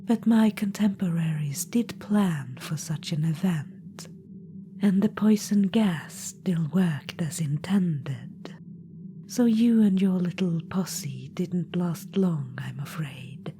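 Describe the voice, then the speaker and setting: Woman playing an undead witch-queen spirit, soft whispers, condescending, unhurried, mellow low voice. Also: expressive. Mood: whispered, scheming. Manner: purring, patronizing, calls listener darling. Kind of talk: ASMR audio roleplay.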